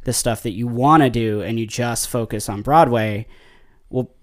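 A man's voice: mid-range voice, normal speed. A man hosting a casual podcast chat, talking earnestly and working through his points with small restarts. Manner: earnest, reasoning things through, repeats words on restarts. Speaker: podcast host, drummer.

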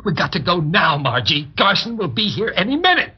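Desperate, raspy pleading husband. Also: male voice, high-pitched, big pitch swings, brisk, heavily acted. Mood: urgent, pleading. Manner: urgent, pleading, stammering under pressure. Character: desperate, guilt-ridden scheming husband, pleading and frantic. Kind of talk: old-time radio melodrama acting. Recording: dull lo-fi old radio recording.

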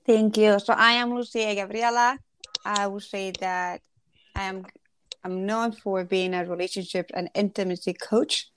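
A woman's voice: mid-range voice, fairly even intonation, a little slow, a bit bright, slight background noise. A woman in her forties from Ecuador, a relationship and intimacy coach, speaking earnestly and reflectively as a podcast guest. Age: 40s.

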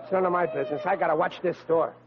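A man's voice: a little high, steady tone, racing, over a noisy crowd, lightly acted. An actor playing a bumbling, good-hearted young policeman with folksy blue-collar speech in a vintage radio comedy. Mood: worried, muttering. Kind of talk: 1940s radio comedy acting. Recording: noisy, muffled vintage radio recording.

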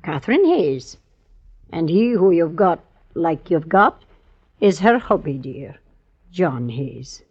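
A woman's voice: lowish voice, very animated, slow pace, pauses often, darkish tone, husky, exaggerated voice. An actress playing a simple, confused village neighbour woman with quaint rustic phrasing in an old radio drama. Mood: bewildered, pitying. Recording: muffled vintage radio recording.

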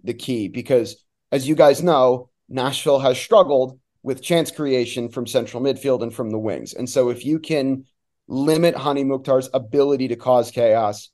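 A man's voice: mid-range voice, darkish tone. A man talking as a sports announcer guest, giving emphatic, opinionated analysis in a conversational way.

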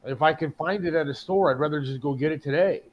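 A man's voice: fairly high pitch, fast talker, lo-fi sound, a little hiss or hum. An American man chatting casually as a talk show co-host, profane and given to complaining. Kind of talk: casual chat.

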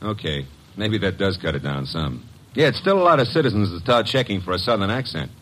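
A breezy young detective's legman from a vintage radio drama, wisecracking and easygoing. His voice is low, with a lively, swinging lilt. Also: fairly quick. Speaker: detective's assistant. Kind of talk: 1950s radio drama acting.

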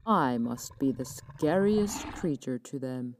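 A woman voicing a proud, hungry but easily frightened male tiger in a children's story, with a growling, dramatic, drawn-out delivery. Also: very deep voice, animated, darkish tone, slight background noise, voice pushed down, heavily acted. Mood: proud, gloating.

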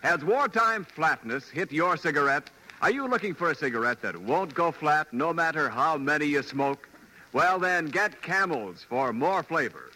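Animated, forceful male vintage radio announcer. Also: on the high side, moderate pace, rough recording. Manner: polished, emphatic sales delivery. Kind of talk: 1940s radio commercial announcing. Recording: vintage radio broadcast, faint music.

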